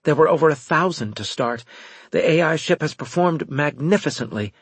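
A man voicing a cool-headed woman officer in an audiobook, measured and deadpan as she reports on a space battle. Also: fairly high pitch, slightly rough, no echo, pitched above the performer's own voice. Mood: focused. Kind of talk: audiobook character dialogue.

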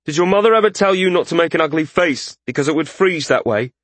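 A man's voice: a little high, expressive, quick pace, fairly bright tone, no echo, voice pushed up. A man voicing an anxious, earnest young male mage in an audiobook, with formal and careful wording. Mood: tense. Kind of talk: audiobook character dialogue.

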